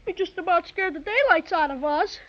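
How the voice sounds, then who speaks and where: A performer playing a plucky, excitable young boy in a 1930s children's radio serial, earnest and exclamatory. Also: extremely high pitch, brisk, exaggerated voice. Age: child character. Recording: dull vintage 1930s radio recording.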